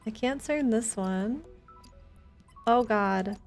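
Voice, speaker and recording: A woman's voice: a little high, takes it slowly, creaky, soft background music. A woman chatting informally and playfully with viewers while she streams a video game. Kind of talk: casual live gaming chat with viewers.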